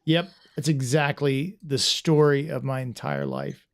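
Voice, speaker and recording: A man's voice: medium pitch, very animated, measured pace. A man hosting a casual livestream podcast, rambling and self-deprecating with lots of restarts. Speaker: podcast host.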